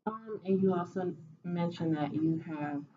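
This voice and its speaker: A woman playing a clinician in a role-played voice evaluation, asking calm, methodical questions. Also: fairly low pitch, unhurried, muffled recording, slight background noise. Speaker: student clinician in a mock voice evaluation.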